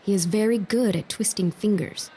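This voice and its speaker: A woman's voice: mid-range voice, normal speed, warm tone, slightly rough, close to an everyday voice. A woman playing a resolute young noblewoman in an audio drama, firm and composed. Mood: stern authority. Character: resolute young noblewoman running an army hospital.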